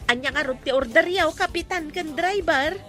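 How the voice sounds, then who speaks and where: Actress as perky young waitress, very high bright voice, comedy drama. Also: female voice, unhurried, faint music behind, full character voice. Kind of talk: comedy radio-style drama acting.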